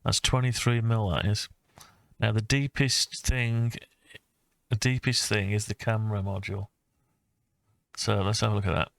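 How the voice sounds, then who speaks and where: A man talking through his own design project informally, thinking aloud with hesitant, halting phrases. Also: fairly low pitch, fairly even intonation, vocal fry, a bit bright, dry recording. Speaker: hobbyist maker presenting own design project. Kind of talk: informal screen-recorded CAD design walkthrough.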